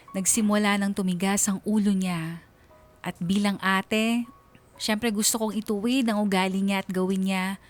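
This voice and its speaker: A woman's voice: mid-range voice, close to an everyday voice. A woman with a Filipino accent narrating in the first person as a concerned eldest daughter in a radio drama. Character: concerned eldest daughter recounting her family troubles.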